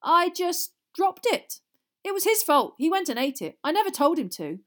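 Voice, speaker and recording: A mischievous teenage boy from a storybook, voiced by a woman reader. He is cocky and cheeky, quick to protest his innocence. The pitch is lifted and very lively. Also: natural voice. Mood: indignant, cheeky. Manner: bright, cheeky, indignant.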